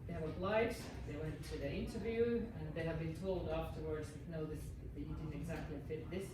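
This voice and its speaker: A woman's voice: low voice, fairly quick. A woman leading a job-hunting workshop, encouraging and upbeat as she gives advice. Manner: encouraging, upbeat pep talk. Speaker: workshop trainer. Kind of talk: career workshop teaching. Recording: echoey room from far off, noisy.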